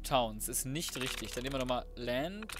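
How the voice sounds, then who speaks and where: A man's voice: mid-range voice, expressive, moderate pace. A young adult man giving casual, slangy let's play commentary, often muttering to himself as he plays. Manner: colloquial, slangy muttering to himself while playing. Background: loud game music, typing and gunfire.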